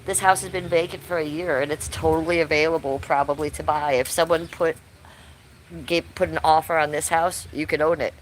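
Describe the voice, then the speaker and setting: A woman's voice: low-pitched, fairly even intonation, a little fast, a little hiss or hum, rough recording. Chatty sick woman streaming outdoors. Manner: chatty, loose, swears casually, talks to chat viewers by name. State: sick, coughing, losing her voice. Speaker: livestreamer. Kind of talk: casual livestream chat outdoors. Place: backyard under trees, outdoors.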